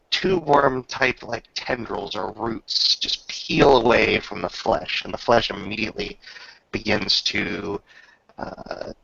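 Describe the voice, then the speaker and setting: A man acting as game master, improvising narration for a tabletop roleplaying game in a halting, thoughtful manner. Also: lowish voice, vocal fry, sharp tone, dull audio.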